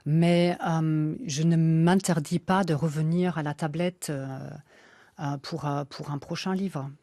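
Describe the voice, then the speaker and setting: Hesitant woman guest, low and dry. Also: steady tone, a little slow, dark tone, dry recording. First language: not French. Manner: hesitant, many fillers and restarts. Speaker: author and illustrator guest. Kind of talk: radio interview, reflective.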